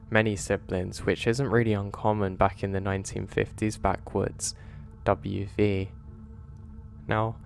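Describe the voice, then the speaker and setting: A man with a lowish voice tells horror stories in a steady, measured narration. A faint ambient hiss sits under the recording. His delivery stays calm and even as he reads. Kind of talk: scripted narration of submitted stories.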